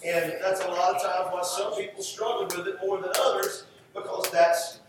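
An American man delivers a fervent sermon in a high voice. He leans hard on key phrases and repeats them for emphasis. The sound is very reverberant and picked up from a distance. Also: flat delivery. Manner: emphatic, repeats phrases for effect, drawn-out stresses. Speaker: preacher. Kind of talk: fervent sermon with scripture reading. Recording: roomy echo, distant mic, faint noise.

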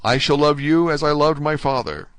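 Guy reading as a desperate young prisoner, pitched up, fervent n pleading, audiobook style. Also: normal speed, fairly bright tone, clean tone, no echo, lightly acted. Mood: pleading, ardent. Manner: impassioned, fervent, exclamatory. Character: young imprisoned sailor, desperate, ardent and pleading.

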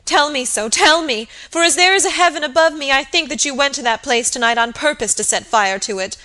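A woman's voice: high voice, a little fast, bright, forceful tone, pitched above the performer's own voice. A woman voicing a hysterical young servant woman in an audiobook drama, sobbing, gasping and pleading in terror. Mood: anguished, accusing.

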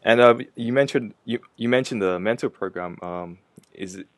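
A man's voice: fairly low pitch, normal speed, dry recording. A man hosting a casual interview, asking informal questions in a hesitant way. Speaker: interviewer, host.

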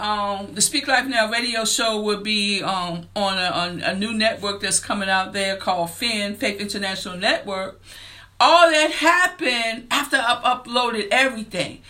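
A woman in her fifties hosting a faith-based motivational talk show, earnest and preacher-like, building to excited emphasis. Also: a little high, measured pace. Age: 50s. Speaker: podcast and radio show host.

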